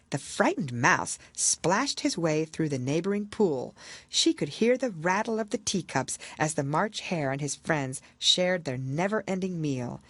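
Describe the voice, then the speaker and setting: A woman narrating a children's classic as an audiobook, in a measured, expressive storytelling manner. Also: sits low, no echo. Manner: expressive, measured literary reading.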